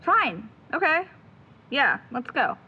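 A woman's voice: high voice, sing-song, takes it slowly, highs cut off, noisy background, full character voice. A woman playing an anxious, prickly young amateur sleuth in an audio drama, hesitant, dry and sarcastic. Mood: resigned. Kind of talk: naturalistic audio drama acting.

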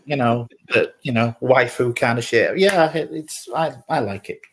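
A man with a medium-pitched voice takes part in a casual podcast chat. He sounds informal and enthusiastic, speaking as one of the panel. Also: normal speed, slight background noise.

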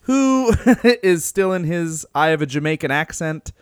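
A man's voice: a little high, a little slow, clean tone, no room sound, clean studio sound. A man co-hosting a wrestling podcast, telling stories in a loose, joking way and laughing often. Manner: laughs often, animated storytelling. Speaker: podcast co-host. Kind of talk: casual podcast chat.

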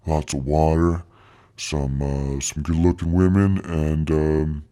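Male actor as slangy, swaggering voice-over guy: extremely low, thick and dark, drawling and boastful, comic podcast guest. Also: little pitch movement, slow pace. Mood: cool, boastful. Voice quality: thick, deep voice. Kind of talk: comic in-character interview.

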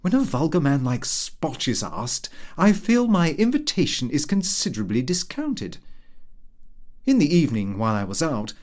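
A man's voice: a little high, very animated, moderate pace, a little mellow. A man narrating a comic Victorian diary as an audiobook, in a prim, self-important way.

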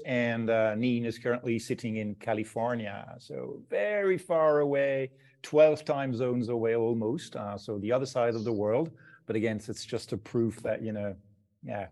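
Brief: male podcast host, mid pitch, encouraging, interviewing remotely. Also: normal speed, darkish tone. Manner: encouraging, short affirmations. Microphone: video call.

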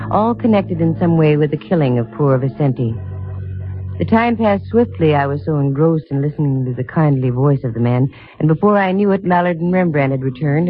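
An actress playing a poised, inquisitive American private investigator, speaking directly in 1950s radio drama dialogue and narration. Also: female voice, low voice. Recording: muffled, narrow-band vintage radio recording with music.

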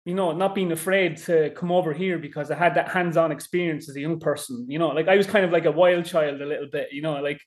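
A man's voice: fairly high pitch, steady tone, quick pace, few pauses. A man with an Irish accent, a podcast guest talking casually and rambling, with lots of "you know" and "like". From Ireland. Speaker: podcast guest, fishing guide. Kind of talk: casual interview chat.

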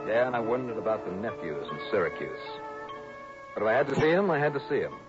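A world-weary investigator speaks in a deep, dry voice. His delivery is wry and matter-of-fact, as in vintage radio drama. The recording is dull, with music behind it. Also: sing-song, fast. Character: wry, steady male insurance investigator. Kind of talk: 1960s radio drama dialogue and first-person narration.

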